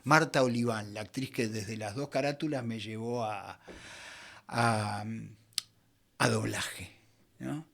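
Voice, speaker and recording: A middle-aged Argentine man from Buenos Aires telling anecdotes conversationally as an interview guest, in an expressive, theatrical way. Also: fairly low pitch, slow, creaky, long pauses. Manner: expressive storyteller, acts out quoted dialogue. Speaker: interview guest, veteran voice actor.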